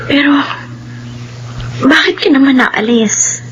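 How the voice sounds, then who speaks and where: A faintly noisy track carries a rich family's gentle daughter. Her voice is on the high side, earnest and soft-hearted, acted in melodrama style. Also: takes it slowly, muffled recording. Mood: grateful, tender. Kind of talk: Filipino radio-style melodrama acting.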